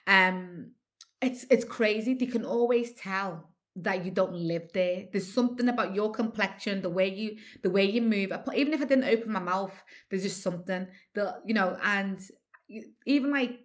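Lively Scouse woman telling stories. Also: mid-range voice, moderate pace. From born in Nigeria, raised in Liverpool. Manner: lively storyteller who acts out others' lines. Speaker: guest. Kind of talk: casual conversation.

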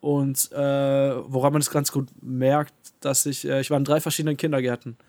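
A young man co-hosting a casual podcast, talking in a halting way with many fillers and restarts. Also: medium pitch, little pitch movement, dark tone, dry recording. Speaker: podcast co-host. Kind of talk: casual conversation. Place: living in a city abroad.

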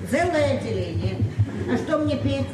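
An actress playing a weary, wry middle-aged touring singer in a Soviet radio drama, speaking ruefully in clipped phrases. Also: female voice, sits high, very animated, moderate pace. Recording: distant, reverberant, noisy old radio recording.

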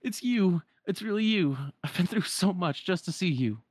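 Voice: medium pitch, expressive, normal speed, a little mellow, a little hiss or hum, pitched above the performer's own voice, natural voice. A performer voicing an earnest, flustered young boy hero who stammers with devotion in a retold game story. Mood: urgent, devoted.

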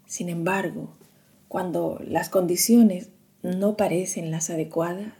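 A woman leads a guided meditation at an extremely slow pace, with long pauses between phrases. Her voice is mellow and slightly low, and her delivery is hushed and soothing. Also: steady tone.

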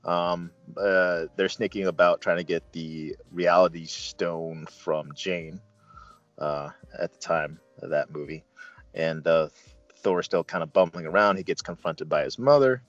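A man talks through a casual film review as host, halting and rambling, with bursts of emphasis. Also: sits low, steady tone, no echo, dull audio, faint music behind. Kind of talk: casual movie review discussion.

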